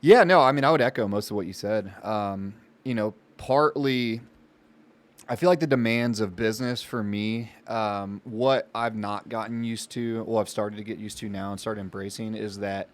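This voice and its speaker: A man's voice: on the low side, normal speed. A man co-hosting a podcast, speaking in a casual, reflective conversational way. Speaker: podcast co-host and business owner.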